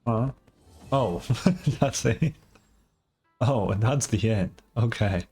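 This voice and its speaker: A man's voice: on the low side, drawn-out words, mellow, husky, soft background music. A man giving casual, blunt, sweary reaction commentary as a game streamer.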